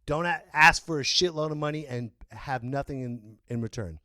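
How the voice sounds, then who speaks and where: A man hosting a podcast, ranting loudly and bursting into sudden yells. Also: mid-range voice, moderate pace, no room sound, studio quality. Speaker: podcast host. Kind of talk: opinion rant.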